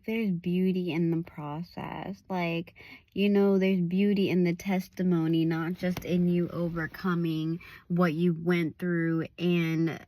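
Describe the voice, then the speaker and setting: A woman evangelist with a raspy voice, giving a casual devotional talk outdoors, full of "like"s and restarts. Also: fairly low pitch, warm tone, no echo. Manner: loose and conversational, many "like"s and restarts. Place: outdoors at a park overlook.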